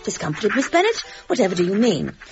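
A woman's voice: mid-range voice, sing-song, fast talker, a little mellow, dull audio, soft background music, close to an everyday voice. A woman playing a novelist reading her overwrought romance draft in a theatrical storybook manner. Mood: melodramatic.

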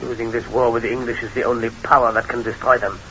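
A man's voice: medium pitch, level tone, quick pace, bright, forceful tone. An actor playing an old French patriot father, grave and courteous, with a French accent and formal, theatrical delivery in old-time radio drama style. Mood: proud, solemn. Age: older. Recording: dull, hissy old radio recording.

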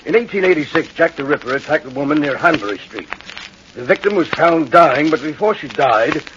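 An actor playing a formal, musing Scotland Yard inspector in an old-time radio drama. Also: male voice, fairly high pitch, lively intonation, brisk, lightly acted. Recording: muffled, noisy old radio recording.

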